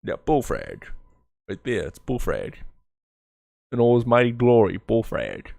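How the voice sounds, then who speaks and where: A man doing casual live reaction commentary as a streamer, excitable, joking and prone to swearing. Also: mid-range voice, a little slow, vocal fry, no room sound, clean studio sound. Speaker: streamer reacting to a fan motion comic.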